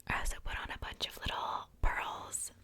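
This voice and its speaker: A woman's voice: moderate pace, sharp tone. A young adult woman hosting ASMR, whispering casually in a rambling, informal show-and-tell with self-joking asides. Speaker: ASMR video host.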